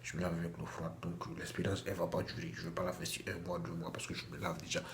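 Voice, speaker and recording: Low, flat-voiced man with a cold. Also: fast talker, background noise. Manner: chatty, repeats himself, many restarts. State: has a cold. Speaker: vlogger. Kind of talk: casual vlog to camera. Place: small bathroom at home. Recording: echoey room with faint ambience.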